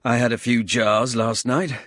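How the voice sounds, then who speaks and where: A man's voice: medium pitch, steady tone, moderate pace, fairly bright tone, no echo, close to an everyday voice. A man voicing a tough, hungover soldier who talks in a dry, teasing way.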